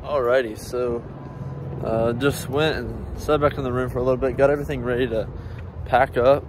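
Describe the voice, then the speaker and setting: A man's voice: medium pitch, fairly even intonation, normal speed, noisy background. A young man, a college baseball player, talking casually to a phone held at arm's length as he goes about his day, with lots of fillers. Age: young adult. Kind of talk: casual vlog narration. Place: outdoors on campus and in a campus restaurant. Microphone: phone camera held at arm's length.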